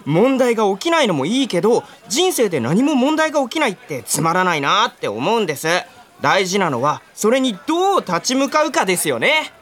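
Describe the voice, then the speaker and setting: A woman's voice: medium pitch, very animated, fairly quick. A woman playing an earnest, polite young man, a boyish and eager junior colleague in a radio drama. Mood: admiring.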